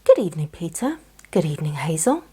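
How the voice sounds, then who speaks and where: A woman voicing a mild, polite, cheerful male hedgehog in a children's story, softly murmuring. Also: sits low, sing-song, fast, husky, heavily acted. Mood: mild, pleased.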